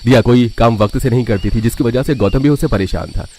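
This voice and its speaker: Male narrator for scripted domestic tales, mid-pitched, some background noise. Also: fast, mellow. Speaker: story narrator. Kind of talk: scripted Hindi story narration.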